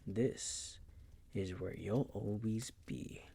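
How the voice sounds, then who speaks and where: A man playing a possessive, coolly menacing vampire master in an intimate audio roleplay, teasing and condescending in a breathy, gravelly whisper. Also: fairly low pitch, steady tone, warm tone, heavily acted. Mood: cold, controlling. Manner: slow, condescending, teasing, coaxing.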